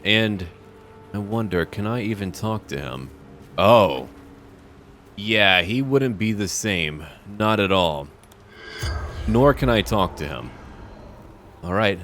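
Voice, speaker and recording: A man hosting a roleplay gameplay video, recapping and musing aloud in a measured way as he plays. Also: lowish voice, expressive, fairly bright tone, slight background noise. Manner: measured, musing, talks to himself. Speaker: let's play host. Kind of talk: narrated roleplay gameplay commentary.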